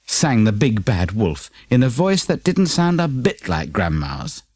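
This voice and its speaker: A man's voice: lowish voice, very animated, a little slow, darkish tone, breathy edge, no room sound, clean studio sound. A man with a British accent reading a children's story expressively as an audiobook narrator.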